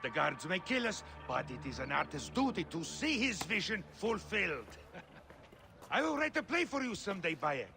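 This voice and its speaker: A man playing a flamboyant, warm-hearted playwright in a video game, theatrical, effusive and grandiose. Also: high voice, very animated, normal speed, creaky, heavily acted. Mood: jovial. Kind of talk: video game cutscene acting. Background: music.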